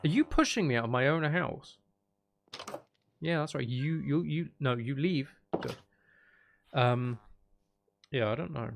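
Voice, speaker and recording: A man's voice: medium pitch, animated, a little slow, creaky, pauses often, noisy background. A man giving casual, unscripted gameplay commentary as a video host, thinking aloud and trailing off mid-sentence.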